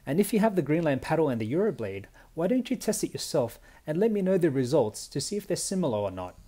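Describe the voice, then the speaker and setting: A young man narrating a kayaking speed test in a measured, explanatory voice-over. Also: fairly high pitch, big pitch swings, warm tone, slightly rough. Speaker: kayaking vlogger. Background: faint background music.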